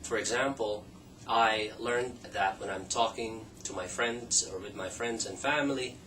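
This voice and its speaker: A man from the Philippines, a second-language English speaker, introducing an online teacher training course as an assistant professor, measured with frequent um pauses. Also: lowish voice, pushed and bright. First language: Tagalog and Ilocano. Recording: distant mic with faint noise.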